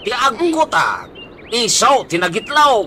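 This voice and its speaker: A man's voice: extremely high pitch, huge pitch swings, crowd noise, exaggerated voice. A man with an Ilocano accent acting an exasperated, bossy village captain who scolds in a comedy radio drama. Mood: accusing. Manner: scolding, drawn-out emphatic cries.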